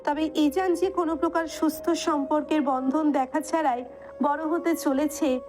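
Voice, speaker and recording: High-pitched woman narrating a story. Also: fairly even intonation, moderate pace, background noise. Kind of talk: scripted dramatic narration.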